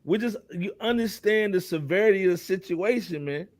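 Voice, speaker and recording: Higher-voiced American man in his forties. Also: brisk, dry recording. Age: 40s. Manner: slangy, emphatic, repeats phrases for effect. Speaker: host of a hip hop talk show. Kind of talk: casual panel talk.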